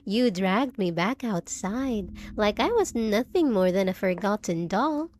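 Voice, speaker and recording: A woman acts out an undead bride in an audio drama, possessive and unhinged. She speaks very slowly at a medium female pitch, cooing endearments with theatrical menace. There is clear background ambience under the voice. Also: animated, darkish tone, a little hiss or hum, lightly acted. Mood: nostalgic, sinister sweetness. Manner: theatrical, cooing, drawn-out endearments with sinister sweetness. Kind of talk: scripted roleplay audio drama monologue.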